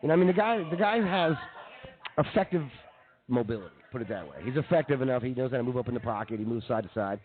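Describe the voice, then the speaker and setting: A man's voice: mid-range voice, animated, fast talker. A man co-hosting a casual sports talk show, giving opinions in informal, slangy speech. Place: brewery, live remote broadcast. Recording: tinny narrowband live bar broadcast.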